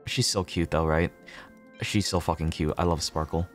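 A man with a deep voice chats to viewers on a gaming livestream. He talks casually, curses freely and repeats himself as he reasons out loud. Soft music plays behind him. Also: steady tone, normal speed. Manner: casual, sweary, repeats himself, thinks aloud.

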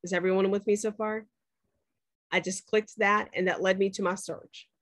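A woman's voice: mid-range voice, little pitch movement, normal speed, fairly bright tone, no echo, clean studio sound. A woman professor walking students through a spreadsheet on a shared screen, chatty and checking in with them often. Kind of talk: screen-share class demo.

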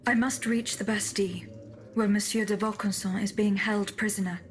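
Game automaton played by a woman: poised female servant, formal, restrained, medium pitch, dutiful. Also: fairly even intonation, moderate pace, close to an everyday voice. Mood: calm, resolute. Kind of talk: video game cutscene acting.

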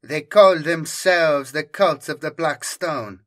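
A stern, blunt military commissar from a grim sci-fi audiobook, voiced by a male narrator. He is matter-of-fact and clipped, with a slightly raised, louder delivery than the narration. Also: fairly high pitch, slow, voice pushed up.

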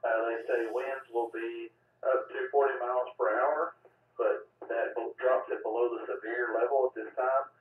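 A man's voice: mid-range voice, level tone, normal speed. Man on a tinny, narrowband radio. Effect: two-way radio. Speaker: amateur radio net control operator. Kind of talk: procedural ham radio net talk. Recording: tinny narrowband radio, distant, echoey, noisy.

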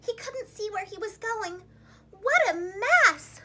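A young woman reads a story to little children like a preschool teacher. Her voice is very high and swings widely in pitch, with expressive, dramatic phrasing. It is recorded in a room with a faint hum of noise. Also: takes it slowly. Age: young adult. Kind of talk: animated read-aloud for young children. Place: small indoor room, seated against a plain wall.

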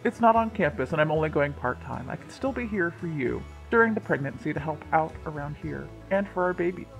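A reader voicing an earnest, gentle young husband and father-to-be in a storybook tale, speaking plainly and sincerely. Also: mid-range voice, animated, brisk, gravelly, roomy sound, faint music behind. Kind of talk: reading a story's dialogue and letter.

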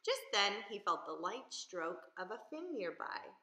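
Woman, children's story reader, slow pace, lively intonation, simple questions, echoey room. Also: medium pitch. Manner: asks the young listeners questions, explains simply. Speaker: teacher reading a picture book. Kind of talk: expressive children's read-aloud.

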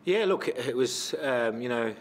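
A middle-aged man with an Australian accent, a football coach answering questions at a post-match press conference into a table microphone, measured and full of fillers. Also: mid-range voice, lively intonation, slight background noise. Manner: measured, many fillers and restarts. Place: press conference room with sponsor backdrop.

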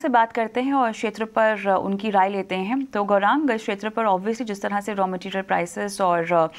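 A woman in her thirties anchoring live business news from a TV studio, brisk but with frequent fillers. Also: mid-range voice.

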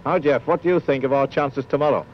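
A man's voice: mid-range voice, fast talker. A middle-aged British man, a football trainer, giving a stiff, rehearsed piece to camera. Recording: dull 1950s film sound, faint noise.

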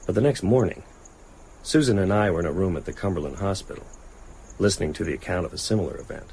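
An actor playing a thoughtful, sober man in a radio drama, measured and wry. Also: deep voice, a little mellow, a little hiss or hum. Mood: wry reflective. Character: thoughtful adult male narrator, sober, wry. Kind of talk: radio drama narration and dialogue.

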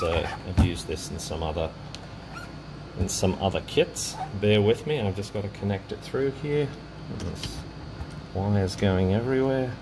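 A man presenting a hands-on electronics demonstration in a casual, halting way, thinking aloud as he works. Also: fairly low pitch, background noise. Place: indoor electronics workbench.

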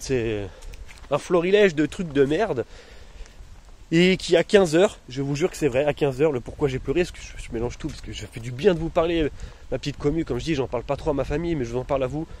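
A man's voice: on the high side, darkish tone. A man in his thirties in pain, rambling in slangy casual talk to his followers while filming himself on a phone outdoors. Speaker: vlogger. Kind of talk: casual vlog. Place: outdoors among trees and bushes. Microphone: phone held at arm's length. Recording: noisy outdoor phone recording. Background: outdoor ambience.

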